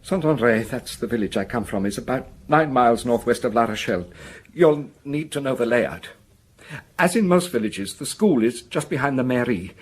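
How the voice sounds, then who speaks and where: A village schoolmaster under suspicion in a radio drama. His medium-pitched voice is husky. He is anxious and earnest, explaining himself defensively and tripping over his words. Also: lively intonation, normal speed. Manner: hesitant, earnest, stammering over repeated words.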